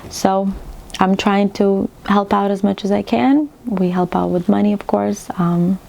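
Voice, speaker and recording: A woman in her thirties from Ukraine with a Ukrainian accent, chatting casually and reflectively to her vlog viewers, pausing mid-sentence. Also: medium pitch, steady tone, dark tone, low-quality recording. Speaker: needlework vlogger. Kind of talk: casual personal vlog chat. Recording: clearly audible background room noise.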